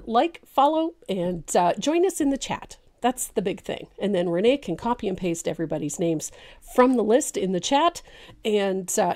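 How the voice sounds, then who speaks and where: Older lady painting teacher, stuffy and a bit gravelly, mellow voice, chatty live show. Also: mid-range voice. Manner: chatty, warm-hearted, laughs often, many ums. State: congested, a little gravelly. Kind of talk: casual live painting tutorial. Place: home craft studio, indoors. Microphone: studio mic on boom arm.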